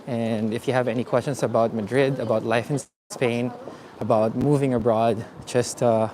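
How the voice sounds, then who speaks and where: A man from the Philippines hosting a live walking tour outdoors, talking in a relaxed, chatty way with many fillers. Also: mid-range voice, moderate pace. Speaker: vlogger. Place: city streets outdoors. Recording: faint street noise outdoors. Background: street traffic and ambience.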